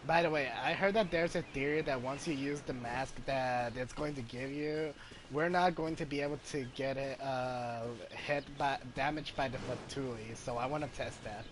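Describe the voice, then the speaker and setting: A man giving casual gameplay commentary, halting and thinking aloud as he plays. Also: medium pitch, fairly even intonation, noisy background. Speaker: gamer commentating on own gameplay. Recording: game music behind the voice.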